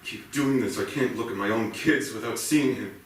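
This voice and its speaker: A man's voice: medium pitch, moderate pace, natural voice. A man acting an anguished, guilt-ridden cop, echoey. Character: tormented, guilt-ridden police officer father waking from nightmare. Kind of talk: live staged play reading. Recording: echoey theatre, far from mic, faint noise.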